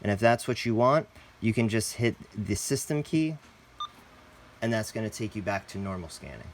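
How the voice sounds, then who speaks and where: A man's voice: lowish voice, animated, normal speed, a little hiss or hum. A man hosting a step-by-step product tutorial, talking in a casual, plain instructional way. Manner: casual, plain instructional, addresses viewers as guys. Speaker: host, tutorial presenter.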